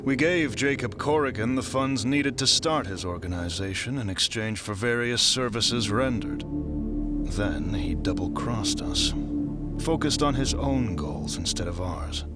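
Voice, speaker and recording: An actor playing a menacing, smug crime syndicate villain in an audio drama, taunting with deliberate delivery. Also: male voice, mid-range voice, expressive, natural voice. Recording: music behind. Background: dramatic music.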